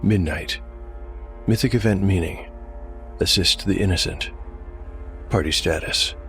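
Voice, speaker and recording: A man's voice: low voice, unhurried, gravelly, a bit bright. A man narrating a solo tabletop fantasy game as game master, in a dramatic storytelling style. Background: prominent music.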